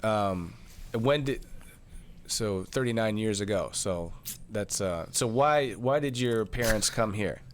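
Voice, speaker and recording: A man's voice: fairly low pitch, takes it slowly. A man hosting a podcast, asking friendly questions with many stammered restarts. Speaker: podcast host and chef. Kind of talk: casual interview.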